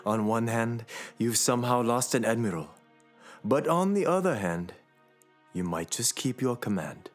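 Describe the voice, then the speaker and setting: An actor playing a haughty, insinuating Japanese prince in an audio drama. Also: male voice, medium pitch, lively intonation, a little slow, faint music behind, close to an everyday voice. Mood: sly, needling.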